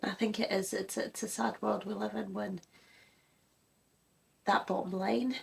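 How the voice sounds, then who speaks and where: A woman's voice: mid-range voice, little pitch movement, a little slow, gravelly, slight background noise. A woman who grew up in Germany, co-hosting a music reaction chat and giving earnest opinions in a casual way. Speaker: co-host of a music reaction channel.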